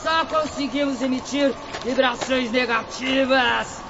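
An actor playing a bitter, tormented male spirit in a cursed swamp, mocking and shouting in despair. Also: very high-pitched, steady tone, a little slow, vocal fry, heavily acted. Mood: scornful. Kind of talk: radio drama acting. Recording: dull lo-fi audio with noise.

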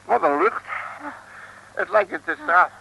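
A man's voice: very high-pitched, wildly swooping pitch, unhurried, creaky, bright, forceful tone, slightly rough, exaggerated voice. A man playing a blustering, bumbling detective who hems and grumbles in a comic Dutch radio serial. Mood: winded grumbling. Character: blustering, bumbling male detective boasting of wrestling grips. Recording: noisy old radio recording.

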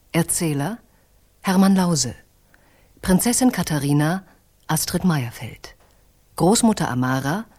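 A woman announces radio-play credits. She reads from a script at a very slow pace in a lowish voice. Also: a little mellow.

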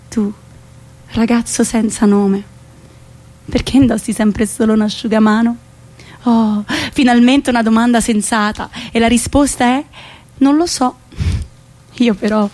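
A woman's voice: fairly high pitch, dark tone. An actress playing a spirited, slightly eccentric young woman of today in a theatrical monologue for a radio play. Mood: playful, musing. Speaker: stage actress.

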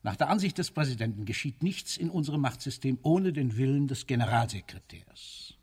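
A husky, imperious military ruler. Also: male voice, medium pitch, lively intonation, brisk, a little mellow. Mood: coolly argumentative. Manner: commanding, coolly argumentative, declamatory. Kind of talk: radio play acting.

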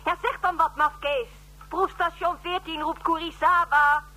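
Bright, high-pitched, snappy young woman. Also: fairly even intonation, measured pace, heavily acted. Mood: impatient, mocking. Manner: snappy, mocking, swearing. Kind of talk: Dutch science-fiction radio play acting.

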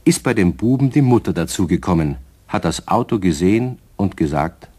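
An actor as the storyteller of a children's radio play, narrating in a husky voice with a southern German accent. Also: male voice, deep voice, animated, normal speed, dark tone. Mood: storytelling.

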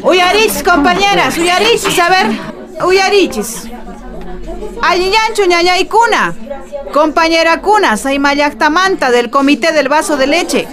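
A woman playing a brisk, commanding women's committee leader in a radio soap, with a Peruvian Andean accent. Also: extremely high pitch, expressive. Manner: loud, commanding, mixing Quechua and Spanish. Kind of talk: radio soap opera acting. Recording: crowd chatter behind.

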